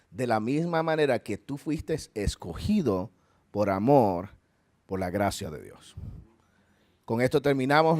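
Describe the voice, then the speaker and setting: A man's voice: medium pitch, darkish tone, no echo. A male pastor with a Puerto Rican accent, giving an earnest, conversational church talk and leading a closing prayer. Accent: Puerto Rican Spanish. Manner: earnest, conversational preaching with asides. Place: church hall.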